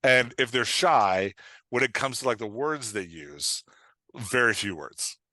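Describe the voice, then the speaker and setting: A man talking as a coach and podcast guest, explaining things in an informal, animated way with acted-out example remarks. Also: medium pitch, unhurried, no room sound. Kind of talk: casual explanatory podcast interview.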